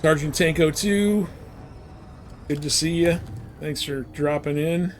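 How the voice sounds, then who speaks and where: A man's voice: a little high, takes it slowly, slight background noise. A man chatting casually and informally on a live game stream, rambling through his explanations.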